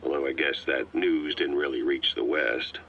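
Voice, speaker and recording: An actor playing a composed, knowledgeable military intelligence advisor in a video game, talking in a measured, matter-of-fact way. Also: male voice, rumbling low, vocal fry, a bit bright, lo-fi sound, full character voice. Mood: composed, informative. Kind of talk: acted taped conversation in a video game. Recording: band-limited cassette tape, faint noise.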